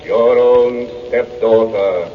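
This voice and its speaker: A man's voice: mid-range voice, fairly even intonation, phone-quality sound, close to an everyday voice. A man voicing a solemn magic mirror spirit, reciting like an oracle in 1930s radio drama style. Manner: slow, solemn, incantatory recitation. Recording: distant, hissy, band-limited 1930s radio.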